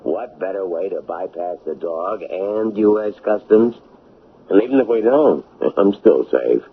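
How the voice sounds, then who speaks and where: An actor playing a cocky, scheming, menacing smuggler in a radio drama, talking in brash street slang. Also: male voice, sits low, animated, measured pace, slightly rough, exaggerated voice. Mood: sly, boastful. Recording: muffled, lightly noisy old radio broadcast.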